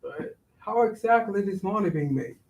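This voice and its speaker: A man's voice: sits high, animated, moderate pace, creaky, a little mellow, slight background noise. An actor playing a cautious, skeptical young father in a staged reading, answering his friend with blunt slangy retorts. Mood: incredulous, wary. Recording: roomy echo, distant microphone.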